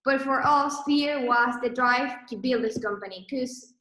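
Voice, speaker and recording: A young woman from Venezuela, a Spanish speaker, gives a talk in halting English with a Venezuelan accent. Her voice sits fairly high and sounds bright and crisp. The room around her is echoey. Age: 20s. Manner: halting, many restarts and fillers. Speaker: guest speaker, brand co-founder. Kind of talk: online presentation.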